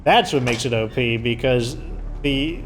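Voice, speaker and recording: A man with a hoarse voice giving loose, rambling commentary while he plays a video game. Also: mid-range voice, a little slow, slight background noise. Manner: rambling, filler-heavy explaining. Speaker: let's play host. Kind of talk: casual gameplay commentary.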